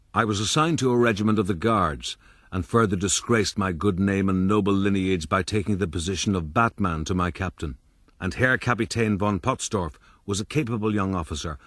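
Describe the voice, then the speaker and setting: A man's voice: deep voice, no echo, studio quality. An actor playing a rueful, boastful soldier-rogue, narrating his own life in a radio drama.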